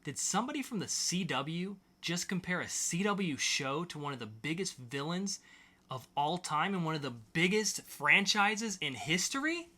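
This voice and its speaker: Bright, punchy male podcast host. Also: on the high side, sing-song, normal speed. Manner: announcer-like, with sarcastic quips. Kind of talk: scripted comedic pop-culture news delivery.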